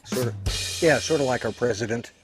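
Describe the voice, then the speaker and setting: A hoarse man in his sixties, co-hosting a live show and rambling along with self-deprecating jokes. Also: mid-range voice, a little fast, music in the background. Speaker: co-host. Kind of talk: casual live-stream chat.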